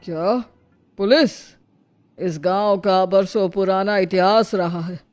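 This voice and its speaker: A woman's voice: medium pitch, slow, a little mellow, faint music behind, lightly acted. Woman acting a stern male village headman. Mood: authoritative. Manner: reproachful, authoritative.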